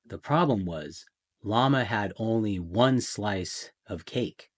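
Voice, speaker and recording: A man reading a children's picture book aloud in a theatrical way, with deliberate pauses and emphasis. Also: lowish voice, expressive. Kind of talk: dramatic children's storybook narration.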